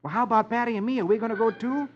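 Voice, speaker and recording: A man's voice: very high voice, rapid-fire, a little hiss or hum, pitched above the performer's own voice. A man voicing an eager, wholesome boy in a 1950s radio comic-strip adventure. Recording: muffled old radio recording.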